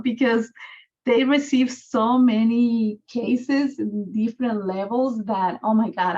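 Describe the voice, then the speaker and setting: A woman's voice: a little high, a little mellow, highs cut off. A woman from Guatemala with a Guatemalan Spanish accent, speaking English as a second language as she talks earnestly and at length about her advocacy work. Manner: earnest and talkative, with non-native grammar. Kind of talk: video-call community conversation. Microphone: video call.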